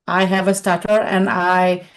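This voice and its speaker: A woman's voice: fairly low pitch, completely flat delivery, a little slow. A middle-aged woman from India answering a question in a webinar, talking conversationally and acting out quoted voices over a video call. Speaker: webinar speaker and consultant.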